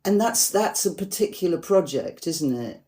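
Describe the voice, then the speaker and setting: A woman poet speaking in an earnest, reflective way about language, slowing down to stress her ideas. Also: on the low side, lively intonation, warm tone. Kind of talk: reflective conversation about poetry.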